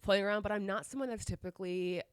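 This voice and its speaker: A woman's voice: mid-range voice, racing, smooth, no echo, clean studio sound. A woman with a cold co-hosting a podcast, slightly nasal and congested, chatting casually with lots of restarts. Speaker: podcast co-host. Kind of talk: casual chat.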